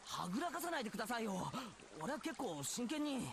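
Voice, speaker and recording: A man's voice: very high-pitched, big pitch swings, rapid-fire, creaky, background noise, exaggerated voice. An actor voicing an agitated, insistent teenage boy in a mystery game, with a stammer. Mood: impatient, insistent.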